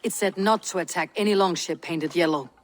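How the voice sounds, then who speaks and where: An actress playing a probing, reserved Viking warrior investigator in a video game cutscene. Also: female voice, on the low side, fairly quick, close to an everyday voice. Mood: grave, questioning.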